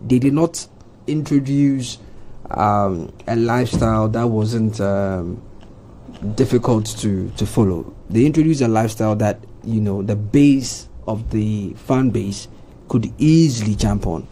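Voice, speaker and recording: Low, mellow Ghanaian man, some background noise. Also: unhurried. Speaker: panelist on a culture talk show. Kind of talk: conversational panel discussion.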